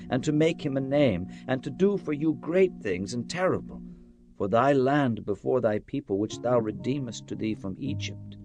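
A humble, reverent king. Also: medium pitch. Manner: solemn, declamatory. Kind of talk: dramatized Bible audio acting.

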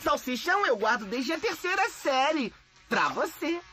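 Excitable, high-pitched cartoon boy. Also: normal speed, heavy background noise. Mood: friendly. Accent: Brazilian. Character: excitable, mischievous young boy cartoon hero. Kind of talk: animated cartoon dub. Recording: loud music behind the voice.

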